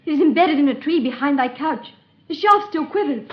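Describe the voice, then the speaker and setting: A woman's voice: high voice, expressive, fairly quick. An actress playing a dutiful, deferential servant to a queen, in 1930s radio drama style with archaic diction. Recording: dull old radio recording with faint crowd.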